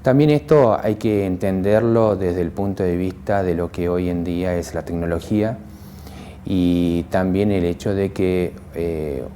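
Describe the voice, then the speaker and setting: A man with an Argentine accent speaks in a low, somewhat mellow voice. He explains things as a library worker being interviewed, with some noise behind him. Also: unhurried.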